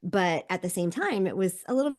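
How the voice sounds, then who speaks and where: A woman's voice: lowish voice, lively intonation, a little fast, a little mellow. A woman in her fifties, a leadership coach and podcast guest, who talks at length with energy and laughs between phrases. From South Korea, grew up abroad. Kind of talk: conversational interview.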